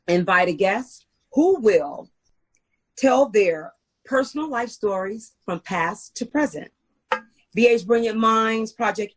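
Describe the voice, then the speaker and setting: Husky-voiced woman hosting an interview. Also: medium pitch. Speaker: host. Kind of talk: scripted intro and interview.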